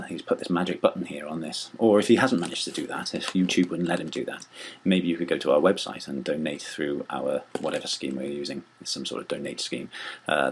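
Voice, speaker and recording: A man's voice: a bass voice, husky. A man speaking for a small theatre company, making a rambling, homely appeal to listeners. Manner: rambling, homely, loose wording.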